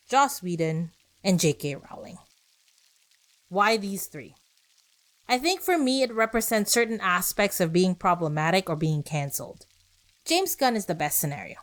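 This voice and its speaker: A woman hosting a podcast, reading scripted narration with conversational asides, rhetorical questions and short emphatic phrases. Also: mid-range voice. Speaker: podcast host.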